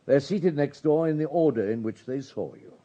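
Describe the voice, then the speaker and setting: Actor as a courteous, relentless police superintendent, lively intonation. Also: male voice, on the high side, highs cut off, lightly acted. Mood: coolly accusing. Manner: polite, measured, insistent. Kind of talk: radio drama acting.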